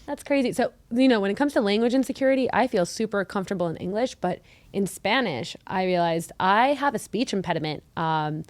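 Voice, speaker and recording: A young woman from Mexico co-hosting a casual chat podcast, telling her stories in a chatty, animated way. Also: medium pitch, a little fast, no room sound. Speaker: podcast co-host.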